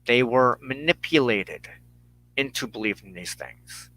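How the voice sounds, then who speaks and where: An American man giving an earnest personal monologue as a broadcast host, speaking in deliberate, halting phrases with emphatic pauses. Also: medium pitch, animated, pushed and bright, poor audio. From United States. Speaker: host of a weekly religious broadcast.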